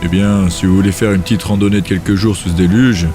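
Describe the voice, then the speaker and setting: A man's voice: deep voice, steady tone, fast talker, over music, close to an everyday voice. A man playing a blunt, cynical, matter-of-fact soldier in an audio drama. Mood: dry, resigned.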